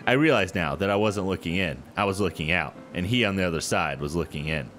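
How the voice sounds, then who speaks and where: A man hosting a gaming video, reading a visual novel aloud with casual, expressive commentary. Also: deep voice, quick pace. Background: soft background music.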